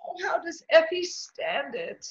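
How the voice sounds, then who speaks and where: Casting: actress as sweet innocent older mother for an old-time radio comedy-drama, flustered chatterer, very animated and high. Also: measured pace, dull audio, exaggerated voice. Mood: shaken. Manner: chatty, flustered, twittering, genteel.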